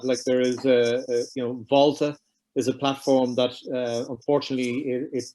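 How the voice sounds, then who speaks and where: A man's voice: mid-range voice, darkish tone. A man speaking on a panel, emphatic and casual with fillers. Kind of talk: panel discussion.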